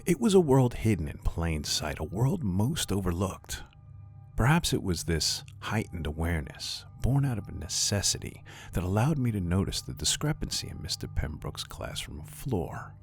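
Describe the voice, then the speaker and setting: Very deep-voiced male horror narrator. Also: sing-song, moderate pace. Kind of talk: scripted first-person scary story narration. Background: faint music.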